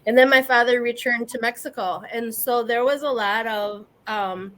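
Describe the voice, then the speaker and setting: A woman from the US Midwest whose first language is Spanish, speaking as a guest on a video call panel in a reflective, earnest way with frequent pauses. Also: a little high, pushed and bright. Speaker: guest panelist, community organizer. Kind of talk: casual panel chat.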